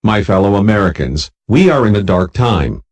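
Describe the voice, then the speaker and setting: A man playing a solemn American president giving a grave, measured, formal address to the nation in wartime. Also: lowish voice, very animated. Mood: grave, resolute.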